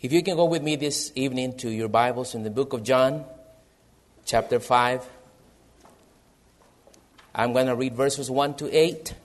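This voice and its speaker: Missionary preacher, male, Salvadoran Spanish accent, earnest and measured, mid-range voice. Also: mid-range voice. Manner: earnest, measured, reads from prepared notes. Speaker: missionary pastor. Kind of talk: missionary church presentation and sermon.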